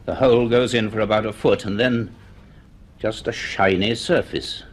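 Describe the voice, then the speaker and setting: An actor playing a commanding, intense scientist in a radio drama, speaking in urgent, halting orders. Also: male voice, on the low side, fairly even intonation, close to an everyday voice. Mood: puzzled, urgent. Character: commanding, intense university scientist leading an investigation.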